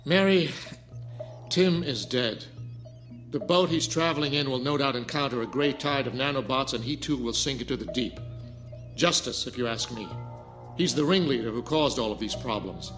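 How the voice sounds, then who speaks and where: An actor in an audio drama playing a cold, authoritarian scientist in charge of a lab. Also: male voice, mid-range voice, moderate pace, music in the background, lightly acted. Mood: cold, contemptuous. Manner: stern, authoritarian.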